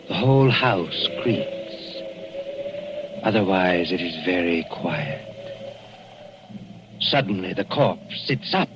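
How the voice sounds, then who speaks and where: A man narrating a 1940s radio mystery in a measured, ominous storytelling manner. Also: low voice. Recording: lo-fi vintage radio recording with music behind.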